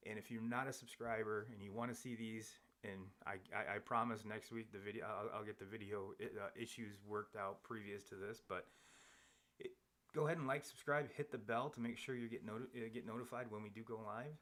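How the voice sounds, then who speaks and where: A man with a lowish voice hosts a live sports podcast, talking casually about baseball. He rambles and restarts his sentences, with lots of fillers between thoughts. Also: steady tone, fairly quick, a bit bright. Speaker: podcast host. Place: home studio, seated across from co-host.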